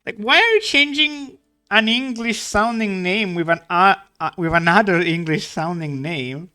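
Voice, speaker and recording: A man's voice: high-pitched, measured pace, bright, forceful tone, clear, resonant tone, soft background music. A man streaming live, chatting casually and rambling while he draws. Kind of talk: casual chat while drawing.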